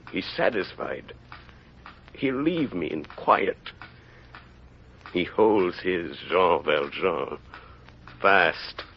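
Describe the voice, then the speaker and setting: Casting: tormented mayor with a guilty secret, slow theatrical delivery, old muffled radio drama sound. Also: male voice, lowish voice, slight background noise. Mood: uneasy, self-justifying. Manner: halting, brooding, theatrical soliloquy. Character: anguished mayor hiding his convict past, wrestling his conscience. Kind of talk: 1930s-40s radio drama acting. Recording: muffled vintage radio recording, faint music.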